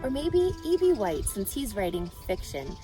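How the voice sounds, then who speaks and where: Animated woman reading a children's story. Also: medium pitch, moderate pace, music in the background, rough recording. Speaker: storybook reader. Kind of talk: children's read-aloud narration with questions to listeners.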